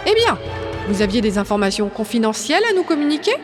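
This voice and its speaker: A woman's voice: fairly high pitch, very animated, quick pace, faint music behind. A woman playing a gracious insect empress in an audio drama, formal and stately.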